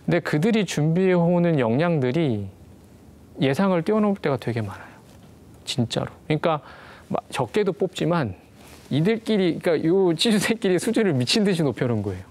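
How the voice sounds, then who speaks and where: Conversational man explaining hiring, slightly high. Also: sing-song, a little fast, a little mellow. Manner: explanatory, conversational, repeats "왜냐하면". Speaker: interview evaluator. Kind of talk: interview and mock job interview.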